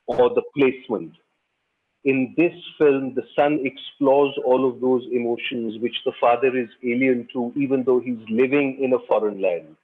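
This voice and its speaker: A man from the subcontinent, an actor giving measured, thoughtful answers in an interview. His mid-pitched voice comes through a thin, tinny phone-like line. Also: steady tone, slightly rough.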